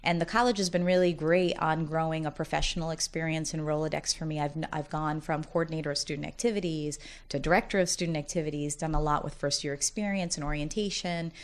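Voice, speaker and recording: A low-pitched woman in her forties talks with easy, upbeat energy. She chats like a college dean at ease in an interview, telling stories at length and often laughing as she talks. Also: steady tone, quick pace. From United States, Massachusetts. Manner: talkative, upbeat storyteller who often laughs while talking. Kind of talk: casual interview conversation.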